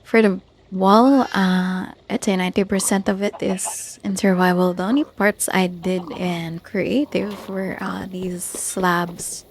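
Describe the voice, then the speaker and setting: A woman's voice: mid-range voice, little pitch movement, slow pace, few pauses, slight background noise. A woman giving a casual, unscripted video game tour commentary, hesitant and often searching for words. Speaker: gamer showing off own Minecraft village build.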